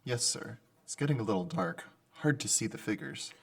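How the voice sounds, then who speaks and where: A man's voice: fairly low pitch, expressive, normal speed, gravelly. A man playing a kindly, dutiful clerk, deferential and apologetic, in old-time radio drama style. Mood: hesitant, obedient. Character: meek, kindly, dutiful underpaid male clerk.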